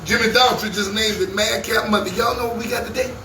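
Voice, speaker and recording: A man in his thirties hosting a lively morning radio show, talking in a playful, preacherly way with rhymes. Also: high-pitched, quick pace, reverberant, distant mic, background noise. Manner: preacherly, rhyming, playful banter.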